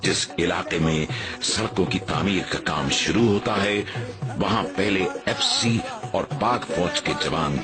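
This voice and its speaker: A man narrates a documentary in a deep, low voice. His delivery is formal and measured, every phrase carefully weighed. Loud music plays under his voice. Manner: measured, formal Urdu diction.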